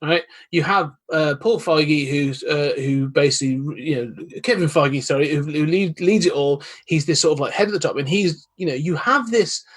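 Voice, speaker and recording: A man, a guest on a casual podcast chat, talking in a thoughtful, measured way with many asides. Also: on the high side, breathy edge. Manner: thoughtful, measured, hedging with "you know".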